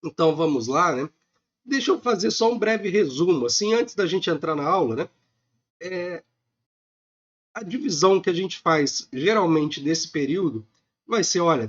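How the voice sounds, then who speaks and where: A man with a Brazilian accent gives a recorded online economics lecture, a little hoarse from a cold, talking informally with many filler sounds. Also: a little high, animated, moderate pace, a bit bright, highs cut off. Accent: Brazilian Portuguese.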